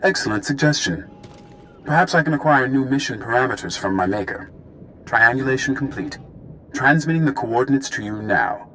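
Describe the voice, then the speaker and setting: Video game droid, formal, literal-minded, scornful, very animated pitch, clear background noise. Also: male voice, moderate pace. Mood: resolved. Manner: stilted, formal robotic phrasing. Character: disdainful, literal-minded military droid, loyal to its maker.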